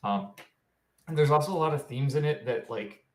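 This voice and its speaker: A man's voice: mid-range voice, normal speed. A man chatting casually as a co-host on a podcast. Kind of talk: casual chat. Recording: roomy, echoing sound.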